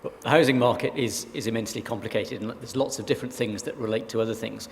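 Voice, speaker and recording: Gravelly man from South Wales on a panel. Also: medium pitch, fairly even intonation, fast talker. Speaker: council leader on a panel. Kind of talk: panel debate answers. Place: conference hall. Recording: reverberant hall, faint background noise.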